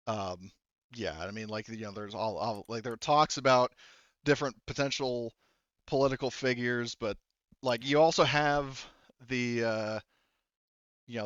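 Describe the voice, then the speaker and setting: A man narrating a tabletop game as game master in a casual, halting, rambling and sometimes profane way. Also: mid-range voice, fairly bright tone, clean tone, dry recording, muffled recording.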